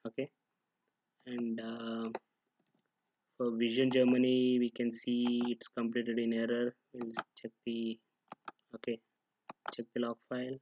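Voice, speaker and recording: A man giving an unscripted, step-by-step software tutorial, halting and pausing mid-sentence as he goes. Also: medium pitch, completely flat delivery, muffled recording, a little hiss or hum.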